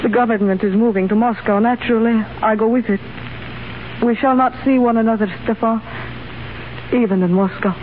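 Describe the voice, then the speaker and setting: A woman's voice: fairly high pitch, steady tone, brisk, narrow, tinny audio, slight background noise, lightly acted. An actress playing an earnest young Russian revolutionary woman who speaks in a formal, earnest way, heard on a 1930s radio broadcast. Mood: tender.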